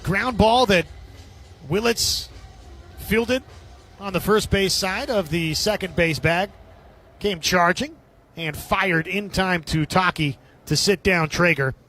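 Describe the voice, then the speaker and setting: A man's voice: high voice, slow pace, pauses often, pushed and bright. A man doing live radio play-by-play commentary of a baseball game.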